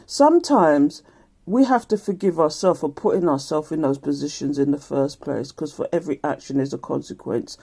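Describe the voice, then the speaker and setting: A middle-aged woman a croaky voice giving a casual daily tarot reading, chatty and laughing often. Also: sits low, normal speed, dark tone. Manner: chatty, laughs often, reads aloud from a card booklet. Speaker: tarot reader.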